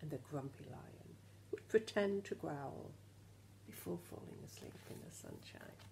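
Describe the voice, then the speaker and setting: Low-pitched woman reading a storybook to children. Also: a little slow, creaky, heavy background noise. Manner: animated, questioning, addresses children directly. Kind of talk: expressive children's storybook reading with asides to the listeners.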